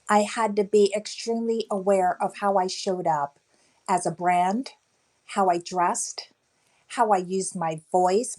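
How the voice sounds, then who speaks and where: A woman with a light voice speaking as a guest on an online panel about leadership, in a measured, deliberate way. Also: medium pitch, darkish tone. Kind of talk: panel talk answering a host.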